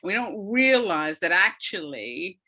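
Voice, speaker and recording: Bright-voiced woman explaining thoughtfully. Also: lowish voice, big pitch swings, slow. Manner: explanatory, thoughtful, searching for words. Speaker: academic and audio dramatist, interview guest. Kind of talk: reflective conversational explanation.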